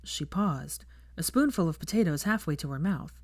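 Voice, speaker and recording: A woman's voice: sits low, lively intonation, moderate pace, dry recording. A woman reading a story aloud as narrator, in a scripted storytelling style. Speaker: fan fiction narrator.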